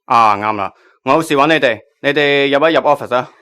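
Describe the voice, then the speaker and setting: A man's voice: medium pitch, brisk, bright, forceful tone, a little hiss or hum, close to an everyday voice. A man with a Hong Kong Cantonese accent playing a businesslike magazine chief editor in a radio drama.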